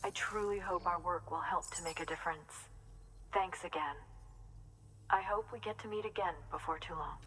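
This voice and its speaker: An actress as an earnest woman photographer in a game cutscene. She speaks fairly low, with heartfelt gratitude. She sounds a little away from the mic, with faint noise around her. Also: moderate pace, a bit bright, lightly acted. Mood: earnest, grateful. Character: earnest, grateful woman photographer in an isolated shelter. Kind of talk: video game cutscene acting. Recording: distant, noisy with ambience.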